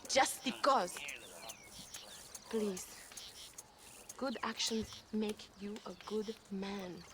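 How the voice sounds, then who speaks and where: A woman's voice: medium pitch, drawn-out words, noisy background. An actress playing a passionate, earnest young Mexican woman revolutionary in a video game, speaking formally and emphatically with a Mexican accent. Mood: grieving, pleading. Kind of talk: dramatic video game cutscene acting.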